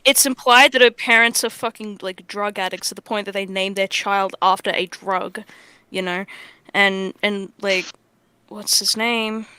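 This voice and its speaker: Bright, clear woman chatting casually. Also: medium pitch, steady tone, a little slow, no echo. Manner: heavy casual swearing. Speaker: voice actor on a livestream. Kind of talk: casual chat discussing characters.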